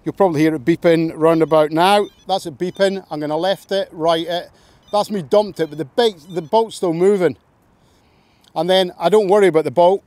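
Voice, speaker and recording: A man's voice: high-pitched, fairly even intonation, normal speed, a little mellow, no room sound. A man, casually explaining a hands-on technique as an angler outdoors. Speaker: angler demonstrating tackle. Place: outdoors at a fishing lake.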